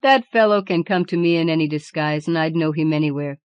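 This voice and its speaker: A woman's voice: sits low, fairly quick, smooth, no room sound, lightly acted. A woman voicing a shrewd peasant man in a storybook, folksy and self-assured. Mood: confident. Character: plain-spoken, confident peasant man, shrewd.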